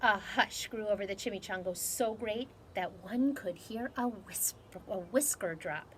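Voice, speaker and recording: A woman reading a children's story aloud in an animated, dramatic way. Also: medium pitch, a little hiss or hum. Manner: animated, dramatic delivery with measured phrasing. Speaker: storybook reader.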